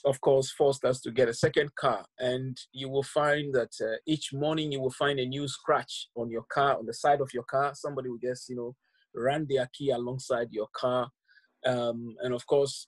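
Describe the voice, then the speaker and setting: A middle-aged pastor from Ghana with a Ghanaian accent, telling his story in a measured, reflective way over a video call from home. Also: mid-range voice, no echo, low-quality recording. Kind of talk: personal testimony on a video call. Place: home room indoors.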